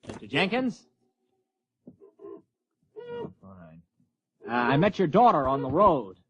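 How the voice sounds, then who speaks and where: Radio play role: irritable American academic type, educated, testy, very lively intonation, noisy recording. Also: male voice, a little high, takes it slowly, heavily acted. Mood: flustered. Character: exasperated, irritable male university graduate student. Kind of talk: audio drama acting.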